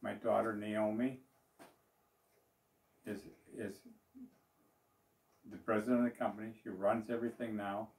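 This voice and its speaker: A man's voice: on the low side, little pitch movement, measured pace, long pauses, a little mellow. An older man, a business owner talking to the camera in a small office in a plain, rambling way, with fillers and restarts. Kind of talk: speaking to camera about his business. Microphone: far camera. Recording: roomy echo, voice from a distance.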